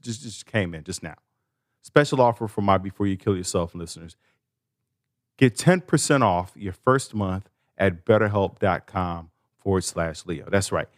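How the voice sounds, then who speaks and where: A man's voice: medium pitch, no room sound, clean studio sound. A man hosting a podcast, talking informally and conversationally with playful, slangy asides. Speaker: podcast host. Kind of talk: casual conversational podcast ad read and interviewing.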